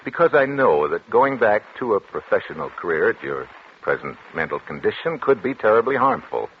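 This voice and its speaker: An actor playing a troubled, solemn doctor in a formal, deliberate 1940s radio drama style. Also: male voice, on the low side, sing-song. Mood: patient, reassuring. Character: troubled, solemn male doctor and psychiatrist narrating his confession. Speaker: actor, narrator and lead. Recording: dull, noisy 1940s radio recording.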